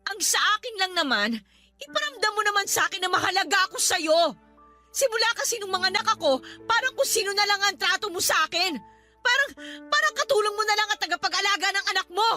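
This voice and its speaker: An exhausted Filipino young mother in a radio drama. Her voice is extremely high, with a scolding, sarcastic, aggrieved edge. Music plays clearly behind her. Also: animated, fast, bright, forceful tone, heavily acted.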